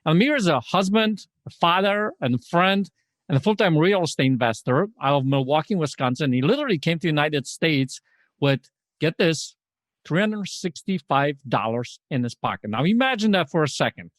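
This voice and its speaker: A man's voice: on the high side, animated, moderate pace, dry recording. A man hosting a podcast in an upbeat, emphatic, motivational way, often posing rhetorical questions as he introduces and interviews. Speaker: podcast host.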